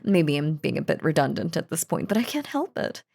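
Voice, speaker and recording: A woman's voice: deep voice, big pitch swings, brisk, gravelly, darkish tone, heavily acted. A woman playing a possessive, lovestruck yandere wife in an intimate audio roleplay, talking in a doting, gushing, cheerfully unhinged way. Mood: affectionate, spoiling. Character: lovestruck possessive yandere wife, sweetly menacing crazy stalker. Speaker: voice actor.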